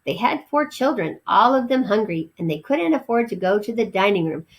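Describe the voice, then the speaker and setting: A woman's voice: mid-range voice, big pitch swings, moderate pace, a little hiss or hum, rough recording. Woman reading as a small, eager, indignant boy mouse. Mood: wistful. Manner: indignant, complaining, wheedling. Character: Ralph, small eager pleading young male mouse.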